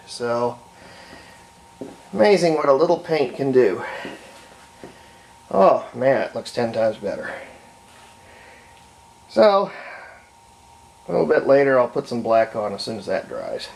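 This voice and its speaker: A man, middle-aged, giving an unhurried, rambling, step-by-step commentary on hands-on restoration work, recorded indoors at home. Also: mid-range voice, creaky, a little mellow, rough recording. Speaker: hobbyist radio restorer presenting own repair videos. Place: indoors at home, partly in a bathroom.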